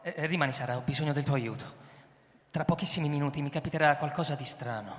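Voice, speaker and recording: A man's voice: on the high side, quick pace, slight background noise, natural voice. An actor playing an anxious, obsessive man in a radio drama, hesitant and stammering, repeating his words. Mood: anxious, faltering. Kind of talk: theatrical radio drama acting. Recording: echoey hall with loud music behind. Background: music.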